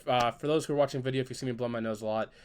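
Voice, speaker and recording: A man's voice: medium pitch, steady tone, rapid-fire, smooth. A man hosting a casual podcast chat, still congested and stuffy-nosed from being sick, upbeat and steering the questions. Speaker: podcast host.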